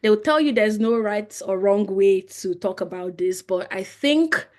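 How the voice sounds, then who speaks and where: A young woman with a Nigerian accent talks through interview tips in a live webinar. Her delivery is conversational and explanatory, often pausing on fillers as she gathers her thoughts. Her voice sits at an ordinary, mid pitch. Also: animated, a little mellow. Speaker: webinar presenter.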